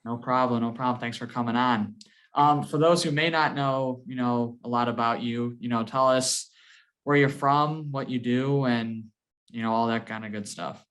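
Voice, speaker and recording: A man hosts a laid-back interview and keeps things friendly. He talks at an ordinary middle pitch and leans on fillers like "you know" and "um". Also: steady tone, normal speed, a bit bright. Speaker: host. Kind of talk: casual interview hosting.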